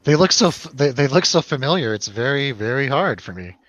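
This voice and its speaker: A man's voice: medium pitch, animated, a little fast, fairly bright tone, rough recording. A man in casual, rambling conversation about films, telling stories animatedly and swearing freely. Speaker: film review show host. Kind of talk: casual chat, film review over drinks.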